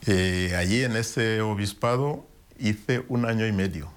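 Deep-voiced man calmly recounting his story. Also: clear, resonant tone. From Rwanda. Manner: reflective, unhurried storytelling with frequent restarts. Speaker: interview guest. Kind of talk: personal testimony in interview.

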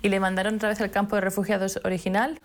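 A woman with a mid-pitched voice conducts an interview. She keeps her turns short, prompting her guest with follow-up questions and brief acknowledgements. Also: little pitch movement, rapid-fire.

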